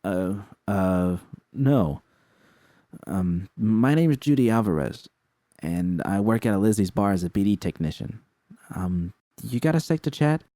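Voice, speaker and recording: A man voicing a frank, caring young woman technician, informal and slangy, often halting and awkward but reassuring. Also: fairly low pitch, animated, dark tone, no echo, clean studio sound.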